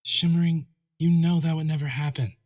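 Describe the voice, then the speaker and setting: A man's voice: fairly high pitch, steady tone, brisk, lightly acted. A man voicing a practical, placating young pony husband, earnest and a little defensive, in a fan fiction audio drama. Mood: pleading reasoning.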